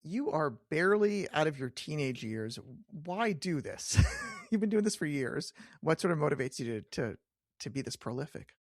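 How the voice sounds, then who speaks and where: A man's voice: fairly high pitch, big pitch swings, normal speed, no echo, studio quality. A man hosting a chatty interview podcast, joking and self-deprecating as he trips over his words and corrects himself. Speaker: podcast host.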